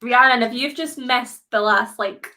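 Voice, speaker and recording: A woman with a higher-than-average voice chats as co-host of a live book show. Her delivery is informal, giggly and conversational. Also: measured pace, bright, forceful tone. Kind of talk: casual book discussion.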